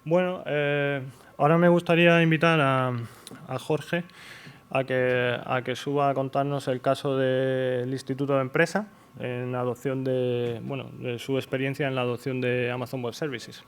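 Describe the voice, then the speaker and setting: A man presenting at a conference and introducing the next speaker, halting and filling pauses with eh. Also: mid-range voice, little pitch movement, clean tone. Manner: halting, with fillers and restarts. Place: conference hall.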